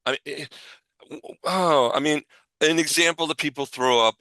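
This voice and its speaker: A man co-hosting a casual podcast discussion, halting as he thinks aloud and rephrases his points. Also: on the high side, sharp tone, no room sound. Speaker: podcast co-host.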